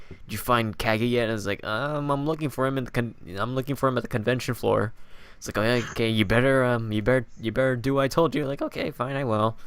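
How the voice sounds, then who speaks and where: A man's voice: medium pitch, fairly bright tone, clean tone, no echo. A man hosting a casual, jokey and informal interview chat. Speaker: podcast host.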